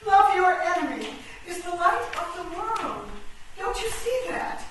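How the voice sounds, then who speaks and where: A woman as a high-voiced, commanding chess-piece leader. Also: lively intonation, measured pace, a bit bright, exaggerated voice. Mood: idealistic, solemn. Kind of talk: theatrical radio play acting. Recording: echoey, distant, noisy stage recording.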